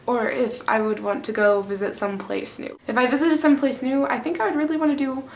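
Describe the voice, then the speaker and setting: A woman's voice: high voice, vocal fry, slight background noise. A young woman from France, chatting casually to camera at home in a bubbly, talkative way. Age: young adult. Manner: bubbly, chatty, quick bursts of excitement. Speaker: vlogger.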